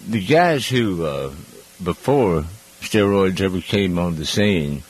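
A man's voice: low-pitched, animated, takes it slowly, breathy edge, poor audio. A man hosting a call-in radio show, chatting in an easygoing, rambling way. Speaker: radio host.

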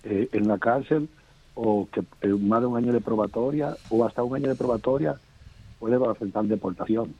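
A man calling in, telling a personal story in an animated way. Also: medium pitch, moderate pace, slightly rough, highs cut off. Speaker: caller.

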